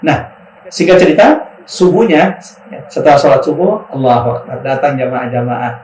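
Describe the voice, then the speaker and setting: A man's voice: medium pitch, low-quality recording. A man from South Sulawesi with a Makassar accent, a guest Islamic preacher telling his story and giving earnest religious advice on a podcast. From South Sulawesi, Indonesia. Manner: earnest, unhurried storyteller who turns into forceful exhortation.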